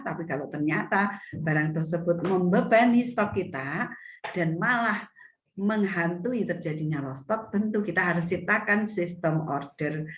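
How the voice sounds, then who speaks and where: A middle-aged woman, teaching an online seminar as a retail business mentor over a video call, her voice a little hoarse from a cough, often restating her points. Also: fairly low pitch. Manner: explanatory, repeats and restates points. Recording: echoey video call audio.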